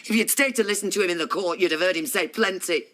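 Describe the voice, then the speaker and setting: A woman's voice: lowish voice, quick pace, a bit bright, natural voice. An actress playing a distraught middle-aged wife and mother in a TV soap, with a Northern English accent, guilty and defensive in an emphatic way. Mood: angry, accusing. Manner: emphatic, anguished delivery. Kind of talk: naturalistic television soap drama acting.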